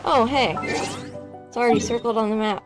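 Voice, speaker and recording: A woman streamer making dry, deadpan asides while playing a game. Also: on the high side, fairly quick, music in the background. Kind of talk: casual gameplay commentary.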